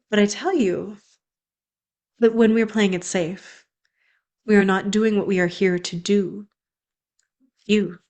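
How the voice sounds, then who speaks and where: A young woman, a spiritual coach, speaking in an earnest, flowing, affirming way in an inspirational monologue. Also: medium pitch.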